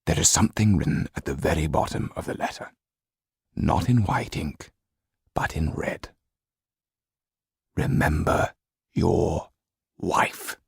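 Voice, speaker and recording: A man voicing a formal French lawyer in an audiobook, measured and firm, with dry irony and quiet disapproval. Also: rumbling low, lively intonation, vocal fry, husky, no echo, full character voice. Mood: probing.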